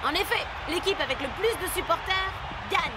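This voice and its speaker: A woman playing a lively, emphatic match commentator in a French cartoon dub. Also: very high-pitched, moderate pace, very noisy. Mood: enthusiastic. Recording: music and crowd behind voice.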